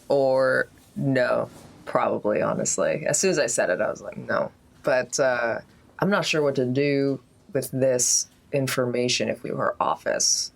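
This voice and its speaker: Female tabletop player, exceptionally low register, expressive and swooping, casual thinking-aloud chatter. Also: vocal fry. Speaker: player in a tabletop role-playing game. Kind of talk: casual game-table chat.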